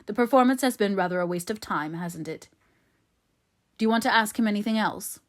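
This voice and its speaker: A woman voicing a composed, authoritative military gentleman in an audiobook, measured and dry yet kindly. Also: medium pitch, close to an everyday voice. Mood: dry, sarcastic. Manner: measured, reassuring, questioning.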